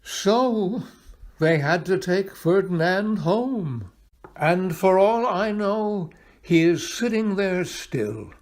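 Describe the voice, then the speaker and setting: A man reading a children's picture book aloud expressively, pausing for drama and addressing his young listeners. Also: high-pitched. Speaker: volunteer storybook reader.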